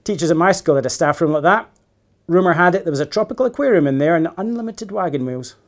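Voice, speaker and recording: A man's voice: a little high, lively intonation, quick pace, pitched above the performer's own voice. An affable, wry male sidekick from a children's storybook, acted out by a man reading aloud. He talks casually and matter-of-factly, with dry understatement. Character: affable, wry older male bus-driver companion.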